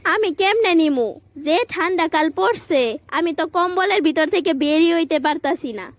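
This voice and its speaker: A woman's voice: extremely high pitch, animated, moderate pace, dry recording. A woman voicing a stubborn, playful young girl in a comic cartoon, whiny and argumentative, with a Bangladeshi accent.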